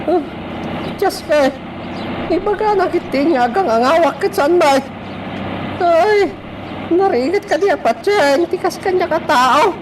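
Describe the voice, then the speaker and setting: Very high-pitched whiny rebel woman, Ilocano. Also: expressive, unhurried, pauses often. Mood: teasing, defensive. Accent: Filipino, Ilocano. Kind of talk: radio drama acting. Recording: noisy outdoor and vehicle ambience. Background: vehicle and outdoor noise.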